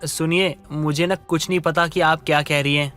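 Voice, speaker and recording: A man voicing a meek, patient husband in a Hindi story cartoon, speaking politely and hesitantly, pleading gently. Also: on the high side, fairly even intonation, moderate pace, soft background music, lightly acted. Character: meek, patient middle-class husband, lovestruck and submissive. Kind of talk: Hindi animated story dialogue.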